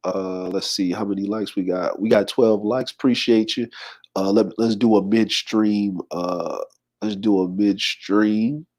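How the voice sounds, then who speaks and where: A man's voice: lowish voice, unhurried. A man of forty hosting a casual livestream, reading viewer comments aloud and greeting people by name. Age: 40s. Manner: relaxed, shouts out viewers and reads comments aloud. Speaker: livestream host.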